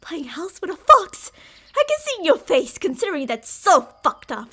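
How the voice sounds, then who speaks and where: A woman voicing a frightened young woman who has briefly taken back control of her body, angry and urgent, shouting in bursts. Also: extremely high pitch, wildly swooping pitch, normal speed, heavily acted. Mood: furious. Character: furious, frightened young woman breaking through.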